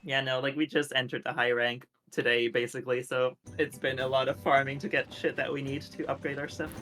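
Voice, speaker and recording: A man streaming a video game, talking casually with his co-host. He jokes and pokes fun at himself. Game music plays clearly under his voice. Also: medium pitch, level tone, brisk, pushed and bright. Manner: joking, self-deprecating, laughs often. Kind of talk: casual gaming chat.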